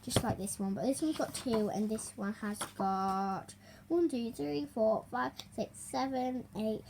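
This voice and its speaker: A young girl reading a children's storybook aloud, expressive but halting, with small stumbles and chatty asides. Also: a little high, creaky, a little hiss or hum. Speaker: young reader hosting a story video.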